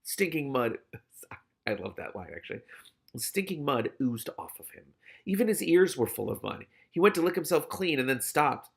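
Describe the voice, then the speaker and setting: Gravelly man reading a novel aloud. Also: a little high, big pitch swings, moderate pace. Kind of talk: expressive read-aloud with casual asides.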